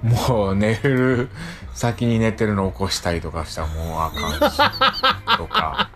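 Lively man chatting on radio. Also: fairly low pitch, a little slow, background noise. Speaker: radio show co-host. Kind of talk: casual conversational chat with frequent agreement. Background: background music.

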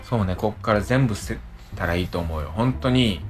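A man's voice: lowish voice, expressive, fairly quick, slight background noise. A man with a western Japanese accent co-hosting a radio podcast in casual, chatty banter, often repeating his phrases. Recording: background music under the talk.

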